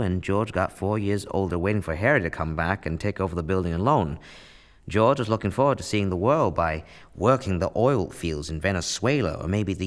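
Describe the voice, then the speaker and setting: An actor playing a calm storyteller who recounts a life in a measured way, in a radio play. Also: male voice, low-pitched.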